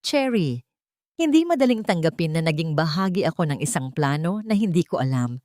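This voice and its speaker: A woman's voice: deep voice, sing-song, fairly quick, a little mellow, no echo. A woman plays a young office employee in a romantic audio drama, hurt and guarded, anxious but trying to stay firm. The recording is dry. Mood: hurt, curt.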